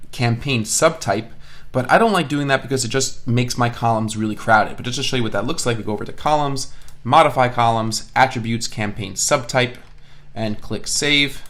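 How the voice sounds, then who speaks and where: A man's voice: medium pitch, fairly bright tone. Male course instructor, mid-range voice, casual and explanatory, narrating on-screen steps. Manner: casual, explanatory, thinking aloud. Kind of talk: screen-share software tutorial narration. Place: indoors at a computer, voice-over of screen recording.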